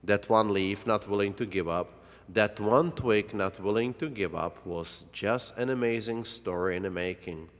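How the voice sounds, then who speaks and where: A man narrating a children's read-along story in a dramatic way, drawing out words for effect. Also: lowish voice. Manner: dramatic, with drawn-out words and exclamations. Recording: long hall-like reverb.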